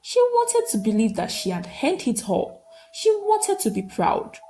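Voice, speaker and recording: Woman storyteller with very lively pitch. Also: unhurried, slight background noise. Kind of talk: scripted folktale narration.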